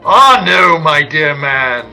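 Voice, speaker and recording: An actor playing a pompous, fussy scientist with a pedantic, condescending manner in an old-time radio comedy. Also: male voice, a little high, big pitch swings, slow, gravelly, bright, forceful tone, faint music behind, exaggerated voice, rough recording. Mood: pedantic, marveling. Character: pompous, genteel male scientist, fussy caterpillar expert.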